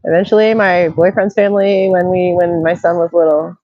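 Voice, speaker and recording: A woman's voice: on the low side, little pitch movement, fairly quick, dry recording, dull audio. A middle-aged woman hosting a casual call, chatty and tired from being sick, reading chat messages aloud. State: tired, recovering from illness. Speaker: channel host.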